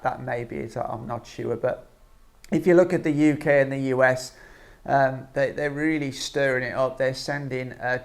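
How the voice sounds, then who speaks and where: A man with a noticeably creaky, gravel-edged voice at an ordinary male pitch. He delivers informal commentary like an online vlogger addressing his channel. His phrasing is hesitant and halting, often broken up by ums. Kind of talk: informal opinion commentary to camera.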